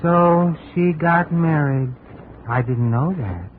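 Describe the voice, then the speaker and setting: A tinny old radio drama recording with faint noise carries an evasive fugitive. His voice sits fairly high for a man, and he speaks casually, with a wry, resigned hesitancy. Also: takes it slowly. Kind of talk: 1950s radio drama acting.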